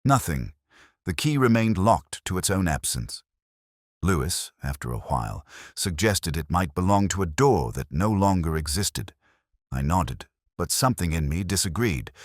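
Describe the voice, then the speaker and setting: A man with a gravelly, husky voice reads a scripted first-person detective story in a measured, brooding, literary way. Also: very deep voice, animated, no room sound, clean studio sound.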